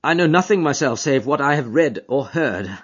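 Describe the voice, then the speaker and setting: A man reading an audiobook voices a grieving, shaken colonel who speaks haltingly and earnestly. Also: mid-range voice, lively intonation, breathy edge, pitched above the performer's own voice. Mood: distressed. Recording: dull lo-fi audiobook recording.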